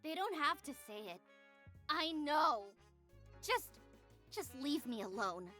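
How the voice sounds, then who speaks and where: A woman's voice: sits high, big pitch swings, slow pace, bright, forceful tone, exaggerated voice. A woman voicing a resentful, defiant schoolgirl magical girl in a visual novel, bitter and blunt. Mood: bitter, hurt. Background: faint music.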